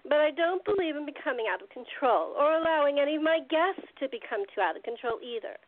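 A woman's voice: high-pitched, expressive, fast. A woman playing an indulgent, languid and coquettish 1920s socialite hostess in a radio play, playful but firm. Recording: tinny, phone-like sound with echo.

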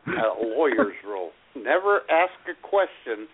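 Male radio co-host, husky, lively swooping intonation, casual comic banter, thin phone-like audio. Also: a little high, a little slow. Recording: narrow phone-like radio sound.

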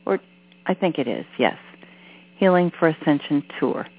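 A woman hosting a call-in radio show, reading out announcements at an unhurried pace. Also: low voice, expressive, slightly rough. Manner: unhurried, reading out numbers slowly. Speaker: host. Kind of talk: casual radio chat with announcements. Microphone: telephone. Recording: phone-line audio with mains hum.

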